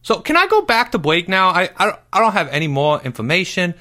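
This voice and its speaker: A man's voice: sits high, big pitch swings, fairly quick, bright, forceful tone, pitched above the performer's own voice, heavily acted. A man voicing a nervous, evasive schoolboy with a hesitant, stammering delivery. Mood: flustered, evasive.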